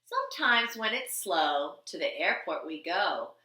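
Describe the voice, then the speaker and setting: A woman reading a children's picture book aloud like a teacher, with an expressive, deliberate, sing-song delivery on the rhymes. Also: on the low side, roomy sound. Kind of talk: animated children's storybook reading.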